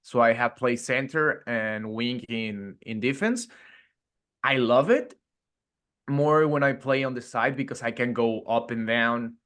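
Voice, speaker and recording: A man's voice: mid-range voice, a little slow, clean tone. Mexican man chatting casually about his life. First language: Spanish. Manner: relaxed, many fillers and restarts. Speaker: gym owner, guest. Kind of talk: casual interview chat.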